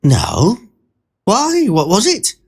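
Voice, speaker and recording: A man's voice: high-pitched, wildly swooping pitch, extremely slow, gravelly, a little mellow, slightly rough, pitched above the performer's own voice, heavily acted. A man voicing a friendly young woman who works as a shop assistant, in a narrated short story. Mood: curious.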